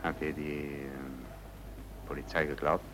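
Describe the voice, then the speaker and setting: A man's voice: extremely low pitch, level tone, measured pace, background noise. A male actor playing a jovial, cynical racketeer in hiding in a German drama, breezy and dismissive in manner. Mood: dismissive, wary. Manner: breezy, dismissive, sighing and chuckling. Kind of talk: German radio drama or film-dub acting.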